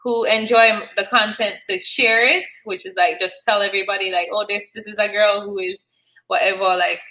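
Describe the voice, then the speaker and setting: A woman's voice: mid-range voice, steady tone, normal speed, pushed and bright, rough recording. A woman hosting a call-in live stream, chatting casually and encouraging her caller. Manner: friendly, encouraging, chatty with laughs. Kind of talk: casual chat.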